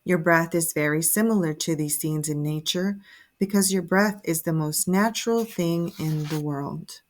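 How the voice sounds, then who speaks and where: A woman narrating a guided sleep meditation in a soothing, measured, instructive way. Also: deep voice. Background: soft music.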